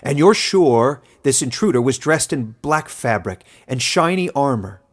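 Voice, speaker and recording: A man's voice: mid-range voice, animated, unhurried, voice pushed up. A man performs an aged village ruler in a fantasy storybook. He is commanding and scornful, speaking in curt orders with little patience for those beneath him. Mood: suspicious. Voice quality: broken edge in the voice. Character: stern, impatient old male village elder, contemptuous ruler.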